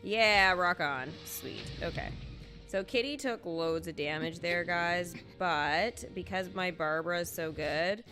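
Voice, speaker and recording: A woman's voice: fairly low pitch, measured pace. A woman chatting casually to viewers as she gives play-by-play commentary on a game, with informal exclamatory asides. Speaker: live game streamer. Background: video game music.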